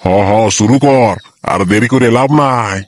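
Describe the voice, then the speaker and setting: A man voicing a boastful enemy war leader in a comic cartoon, with a Purulia Bengali accent and a slow, sneering drawl. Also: medium pitch, voice pushed down, close to an everyday voice. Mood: commanding.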